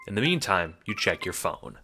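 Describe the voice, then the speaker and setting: A man's voice: low-pitched, sing-song, normal speed, noisy background. A man narrating an audio drama in the second person with a wry, theatrical, sardonic manner.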